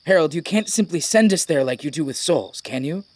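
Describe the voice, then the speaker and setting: An actor playing a troubled blood cultist in a fantasy audio drama, with a direct, questioning manner. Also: male voice, fairly high pitch, expressive, brisk, a little mellow, lightly acted. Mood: urgent questioning.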